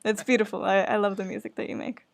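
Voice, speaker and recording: A woman's voice: mid-range voice, flat delivery, quick pace, creaky. A woman podcast host chatting casually in an earnest, hesitant, self-conscious way. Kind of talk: casual chat.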